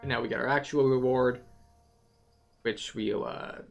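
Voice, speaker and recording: Creaky-voiced game walkthrough guide. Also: mid-range voice, fairly even intonation, highs cut off, over loud music. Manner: unhurried, matter-of-fact explaining. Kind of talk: casual video game walkthrough commentary.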